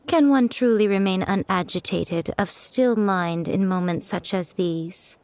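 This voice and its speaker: An actress playing a sly, elegant noblewoman in a game, speaking with teasing, composed calm. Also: mid-range voice, unhurried, no room sound, lightly acted. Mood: knowing.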